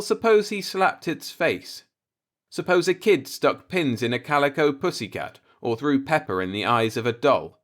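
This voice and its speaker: A man's voice: mid-range voice, fairly bright tone, close to an everyday voice. Brooding professor, voiced by a man, musing bitterly. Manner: musing, pointed, rhetorical monologue. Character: brooding, disillusioned male professor philosophizing bitterly. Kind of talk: audiobook character monologue.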